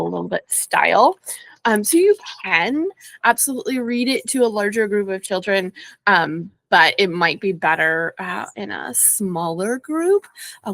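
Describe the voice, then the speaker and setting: A woman's voice: medium pitch, vocal fry, fairly bright tone, no echo. A woman preschool teacher giving an informal, explanatory talk recommending picture books. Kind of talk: casual book recommendation talk.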